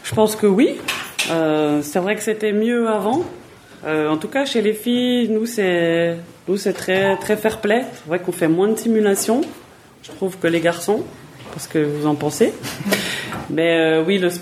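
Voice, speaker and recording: A woman's voice: lowish voice, a little slow, darkish tone, a little hiss or hum. A woman, a footballer answering children's questions plainly and in a friendly way, often repeating words as she thinks. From Switzerland. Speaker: footballer guest.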